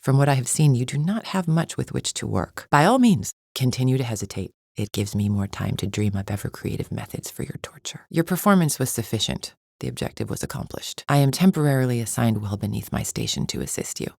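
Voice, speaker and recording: Low-voiced, haughty warrior woman. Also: expressive, brisk, gravelly, few pauses, a little mellow, dry recording, studio quality, exaggerated voice. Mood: disdainful, impatient. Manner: stiff formal diction without contractions, haughty. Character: proud, disdainful alien arena champion woman, cold warrior. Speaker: video game voice actor. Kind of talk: scripted video game character lines.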